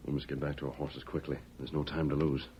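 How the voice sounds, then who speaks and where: An actor playing a calm, decisive masked lawman in an old radio western, firm and measured. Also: extremely low pitch. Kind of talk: 1940s radio western acting. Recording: dull old radio recording, crowd-like noise behind.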